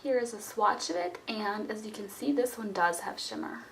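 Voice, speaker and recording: Hoarse woman reviewing makeup, roomy echo. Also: a little high, expressive, normal speed. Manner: chatty, with frequent ums and restarts. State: hoarse from a cold. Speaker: beauty vlogger. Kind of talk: casual product review. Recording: roomy echo, from a distance, faint noise.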